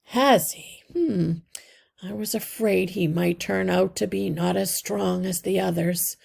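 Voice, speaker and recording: A woman's voice: medium pitch, measured pace, vocal fry, darkish tone, slightly rough, exaggerated voice. A woman voicing a very old, wise male mouse healer with a kindly, authoritative manner for a children's storybook. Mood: kindly, concerned. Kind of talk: children's storybook read-aloud.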